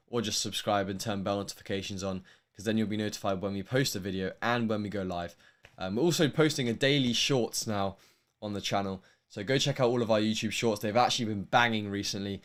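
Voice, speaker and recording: A young man with a posh London accent hosts a tennis livestream, speaking in a fairly low voice. He talks casually and rambles, restarting sentences and filling gaps with ums. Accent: posh London English. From England. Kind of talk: casual livestream chat answering viewer questions.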